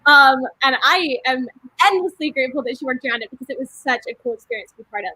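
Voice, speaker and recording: High-voiced young woman telling a story. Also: fast, husky, no room sound, rough recording. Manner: animated, with many fillers. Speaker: cast member. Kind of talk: casual storytelling.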